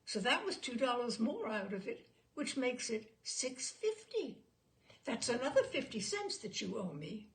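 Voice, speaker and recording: A woman's voice: on the high side, very animated, a little slow. A woman voicing a chatty, unflappable wife who insists and justifies herself in a story reading. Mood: insistent. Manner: rambling, insistent, self-assured.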